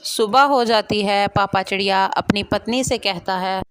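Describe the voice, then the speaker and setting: A woman's voice: medium pitch, measured pace, vocal fry, a bit bright, a little hiss or hum. Woman narrating a children's story.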